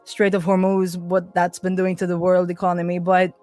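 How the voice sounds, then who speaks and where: A woman's voice: on the low side, completely flat delivery, moderate pace, a little mellow. Woman chatting casually over music. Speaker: day trader, livestream guest. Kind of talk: casual livestream chat. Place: at home at a desktop computer.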